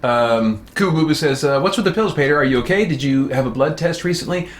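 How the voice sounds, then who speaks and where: A man's voice: fairly high pitch, brisk. A man hosting a livestream, chatting casually in a rambling, self-amused way with frequent laughter. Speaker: streamer, host.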